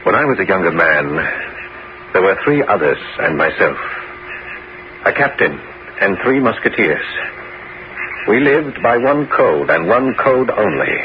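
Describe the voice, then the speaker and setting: An actor playing an aging bishop and former musketeer in a 1970s radio drama, grave and resolute, with theatrical, deliberate, emphatic phrasing. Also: male voice, low-pitched, big pitch swings. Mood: solemn, fervent. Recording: muffled, noisy 1970s radio broadcast recording.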